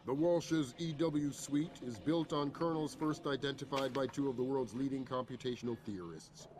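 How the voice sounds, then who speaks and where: A man playing a measured, authoritative intelligence chief in a video game cutscene. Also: mid-range voice, over music, lightly acted. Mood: calm, firm. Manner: measured, assured.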